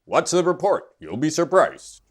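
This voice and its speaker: A man's voice: fairly high pitch, very animated, measured pace, slightly rough, heavily acted. A man voicing a self-important puppet news anchor, theatrical and showy, in a fan-dubbed mock newscast. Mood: showy, pompous.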